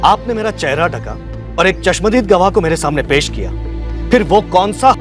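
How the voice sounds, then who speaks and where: A man's voice: high voice, very animated, moderate pace, over music. An actor playing a bitter, sardonic man wrongly jailed and demanding redress in a TV crime drama. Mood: pointed. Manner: indignant, sardonic.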